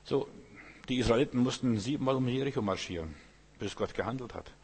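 A man from Lithuania, whose first language is Lithuanian, preaches in a husky, mid-pitched voice. He talks to the congregation like a conversation and keeps checking whether they follow. His voice carries a long hall reverb. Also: fast, a little mellow. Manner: conversational, keeps asking "verstehst du?". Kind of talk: informal sermon.

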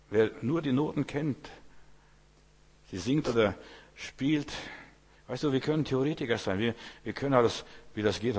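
Husky preacher with a Lithuanian background. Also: male voice, mid-range voice, a little mellow, in a large hall. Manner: conversational, keeps asking "verstehst du?". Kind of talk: informal sermon.

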